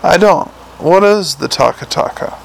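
Male reader as world-weary cop boss: clipped, dry, gravelly. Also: high-pitched, normal speed, slight background noise, pitched above the performer's own voice, poor audio. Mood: brusque. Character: weary, blunt male city watch commander.

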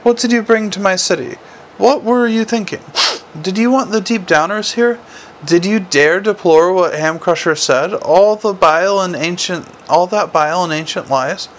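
A wrathful commander of a city watch, acted out by a male storybook reader, biting and sarcastic as he rants and gives orders. His male voice sits fairly high with some gravel in it, over faint background noise. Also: normal speed, few pauses, a bit bright. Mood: enraged. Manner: ranting, accusing, snapping orders.

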